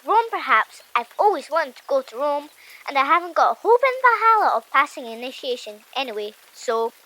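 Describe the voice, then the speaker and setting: A woman's voice: high voice, very animated, brisk, sharp tone, heavily acted, low-quality recording. A woman voicing a gloomy, sarcastic young Viking boy who complains bitterly in an audiobook drama. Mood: weary, resigned. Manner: bitter, weary, miserable complaining. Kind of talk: audiobook drama character acting.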